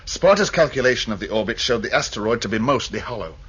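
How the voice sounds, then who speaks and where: Husky, sharp scheming starship crewman. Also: mid-range voice, animated, fast, highs cut off. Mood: calculating. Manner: terse, calculating, dismissive. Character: scheming, cold, confident male starship crewman. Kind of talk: science fiction radio drama acting.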